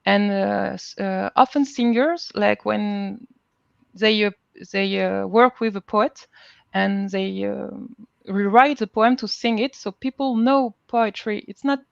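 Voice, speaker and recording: A woman with a French accent talking informally as a panel guest, haltingly searching for words. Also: mid-range voice, fairly even intonation, creaky, dry recording, muffled recording. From France. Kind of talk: informal live panel talk.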